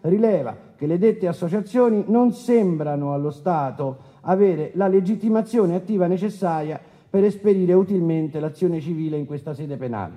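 An actor playing a formal, testy, officious presiding judge in a courtroom radio drama. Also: male voice, sits high, animated, dark tone. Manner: formal and officious, with halting hesitations.

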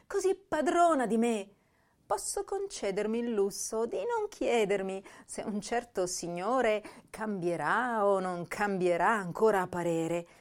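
An actress playing an intense, tender young woman poet, reading letters and verse in a lyrical, measured, dramatic way for a radio drama. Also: mid-range voice, close to an everyday voice.

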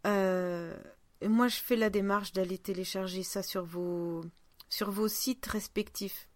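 A woman's voice: mid-range voice, steady tone, unhurried, dry recording. Dry-recorded woman podcaster, chatting informally. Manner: informal, rambling, self-deprecating. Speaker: podcast host. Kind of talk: casual chat.

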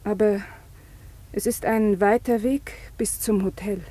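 An actress playing a devoted, anxious unmarried woman full of foreboding in a German radio play, with a husky voice. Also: medium pitch, fairly even intonation, a little slow, mellow, natural voice. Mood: urgent, warning.

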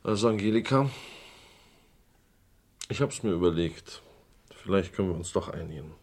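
A middle-aged businessman in a radio crime play, with a fairly low voice. He talks in a measured, persuasive way, coaxing and evading as the pressure sits on him. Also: animated, a little mellow, slight background noise. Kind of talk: German radio crime drama acting.

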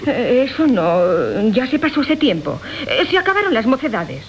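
An actress playing a haughty, flirtatious, sharp-tongued widow in a theatrical radio comedy, tart and imperious, with a Castilian accent. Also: female voice, fairly high pitch, sing-song, moderate pace, low-quality recording. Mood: scheming, coy. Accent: Castilian Spanish. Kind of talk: theatrical Golden Age comedy radio acting. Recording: hissy 1980 radio drama recording.

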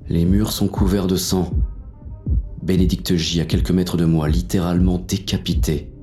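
Guy doing a bitter hard-boiled cop, really low voice, terse tense thriller narration, bit of music behind. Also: little pitch movement, moderate pace, dark tone, close to an everyday voice. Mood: shocked, urgent. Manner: gritty, terse, intense delivery. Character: hard-boiled male police inspector, wary, bitter, foul-mouthed narrator. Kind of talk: first-person dramatic thriller narration.

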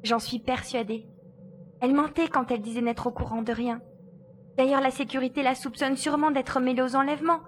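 A woman's voice: high voice, fairly even intonation, brisk, slight background noise, natural voice. A woman acting a bold, decisive young girl adventurer in a French audio drama. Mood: pensive.

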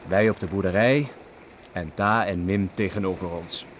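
An actor playing a respectful, quietly teasing young farmer and betrothed son in a radio drama. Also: male voice, low voice, steady tone, moderate pace, lightly acted. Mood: earnest. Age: young adult. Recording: noisy old radio play recording.

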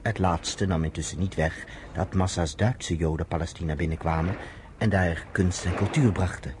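Actor as a low-voiced storyteller in radio drama. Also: male voice, steady tone, natural voice. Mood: measured, serious. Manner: measured, explanatory storytelling. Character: man recounting recent history to a woman friend. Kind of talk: Dutch radio play narration. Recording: noisy old radio play recording.

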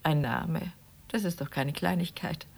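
An actress playing a stubborn, reproachful wife in a radio drama, speaking huskily in a matter-of-fact, chiding way. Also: female voice, deep voice, a little slow, exaggerated voice. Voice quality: husky. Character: stubborn, reproachful wife, a frustrated would-be writer.

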